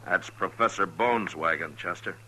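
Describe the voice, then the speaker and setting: Casting: male actor as laconic Old West lawman, American, low voice, calm and weary, vintage radio drama sound. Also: moderate pace, close to an everyday voice. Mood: watchful. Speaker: lead actor and narrator. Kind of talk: 1950s radio Western acting. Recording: old 1950s radio broadcast, dull and noisy.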